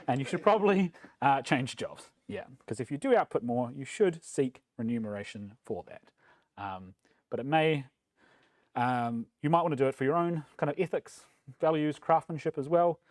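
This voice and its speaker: A man's voice: medium pitch, dry recording, clean studio sound. New Zealand man giving a casual tech talk. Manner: relaxed, self-deprecating, many ums and uhs. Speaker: conference speaker. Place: meetup room.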